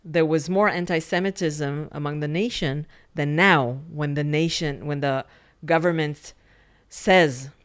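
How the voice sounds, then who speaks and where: A woman's voice: deep voice, measured pace, clean tone. A woman guest expert on Iran, answering interview questions in an explanatory, correcting way with frequent restarts.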